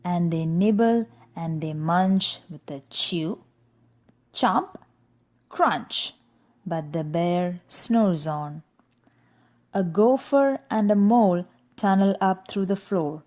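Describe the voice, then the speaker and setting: A woman narrates a children's storybook very slowly. Her voice sits slightly low, and she reads with deliberate, expressive rhythm. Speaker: storybook reader. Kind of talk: children's read-aloud narration.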